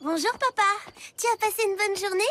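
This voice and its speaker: A woman voicing an earnest, anxious young girl in an animated cartoon, speaking expressively. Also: very high voice, fairly quick, soft background music. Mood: sweet, eager. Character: earnest, anxious young girl scheming to keep parents together.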